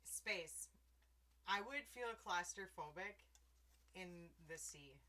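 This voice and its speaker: A middle-aged woman hosting a casual podcast, a little drunk and slurring slightly, laughing loudly as she chats from her bed at home. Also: lowish voice, expressive, slow pace, a little hiss or hum. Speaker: podcast host. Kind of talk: casual tipsy chat. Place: bedroom in a trailer home.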